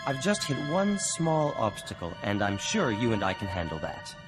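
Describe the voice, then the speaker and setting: Pompous, wheedling, animated scientist. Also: male voice, lowish voice, moderate pace, music in the background. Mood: smug, wheedling. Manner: ornate, theatrical, wheedling. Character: smooth-talking, self-indulgent male scientist, wheedling and pompous.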